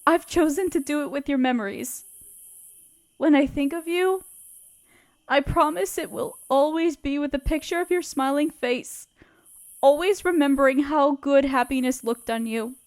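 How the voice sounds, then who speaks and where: A woman's voice: high-pitched, normal speed. A woman playing a tearful grieving lover. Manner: soft, hushed and tender. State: sniffling, tearful. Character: grieving young woman writing to a lost love. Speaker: audio roleplay performer. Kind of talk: intimate audio roleplay reading a letter.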